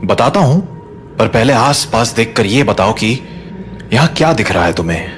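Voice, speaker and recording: A man playing a protective, stern father with a firm, measured manner in a horror audio drama. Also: medium pitch, animated, natural voice. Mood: somber recounting. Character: protective, stern father hushing and explaining to his son. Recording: music under the voice.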